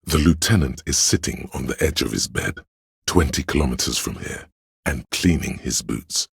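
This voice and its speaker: An all-knowing inner voice of police instinct in a literary video game. It is extremely low and very husky, speaking with grave deliberation and dry irony. The sound is close and dry, with no room around it. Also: male voice, expressive, no room sound, exaggerated voice. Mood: dry, deliberate. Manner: measured, deliberate, dryly ironic. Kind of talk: second-person literary game narration.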